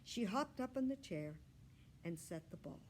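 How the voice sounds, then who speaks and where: Lady reading a kids book aloud, slow and careful, really lively pitch, faint noise behind. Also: low-quality recording. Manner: expressive, deliberate narration. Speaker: library storyteller reading aloud. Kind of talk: children's story read-aloud.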